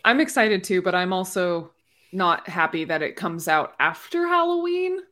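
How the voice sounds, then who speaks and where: A woman's voice: fairly low pitch, animated, moderate pace, fairly bright tone. A woman taking part in a casual podcast chat, talking informally among friends.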